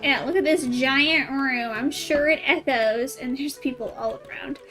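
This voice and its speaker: A woman's voice: sits high, unhurried, pushed and bright, faint music behind. A woman game streamer giving casual commentary in a wry, playful, slangy way.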